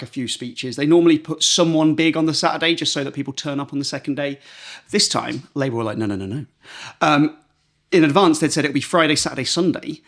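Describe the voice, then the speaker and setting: A man's voice: mid-range voice, a bit bright. A man co-hosting a podcast in casual political chat, telling stories and acting out imagined quotes. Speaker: podcast co-host.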